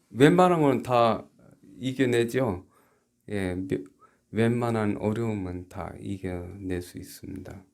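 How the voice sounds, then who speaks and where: A man from South Korea preaching a church sermon as a pastor, haltingly, moving between quiet asides and emphatic rhetorical questions. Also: fairly low pitch, gravelly.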